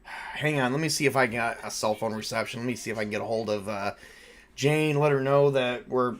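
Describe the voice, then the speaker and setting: Male game master, mid-pitched, casual and animated storyteller for tabletop roleplay. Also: a little fast.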